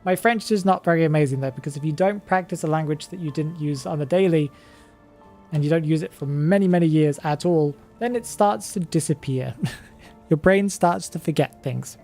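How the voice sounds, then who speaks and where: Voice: medium pitch, normal speed, a little mellow. Chatty British streamer, faint music behind. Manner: chatty, chuckles often, thinks aloud. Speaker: game streamer. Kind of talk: live-stream chat reading viewer comments.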